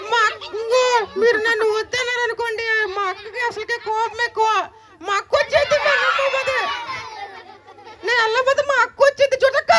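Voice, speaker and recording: Very squeaky, whiny child puppet. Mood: pleading, pouty. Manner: pleading, repetitive, calls out to the children. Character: hungry, chatty, whining child puppet named Cherry. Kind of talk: live children's puppet show banter.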